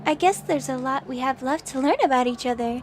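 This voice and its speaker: Sweet flustered innocent girl-next-door young woman, acted by a woman, really high voice, shy and apologetic, audio drama. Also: a little fast, noisy background, natural voice. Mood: coy, bashful. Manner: apologetic, hesitant, polite.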